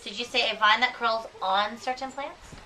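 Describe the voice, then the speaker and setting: A woman speaks as an elementary teacher with a bright, fairly high voice. She asks questions and echoes answers slowly and deliberately, with encouraging endearments. The recording is picked up from a distance. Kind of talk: classroom instruction, questioning pupils and repeating their answers. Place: classroom with children. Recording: distant mic, clearly audible room noise.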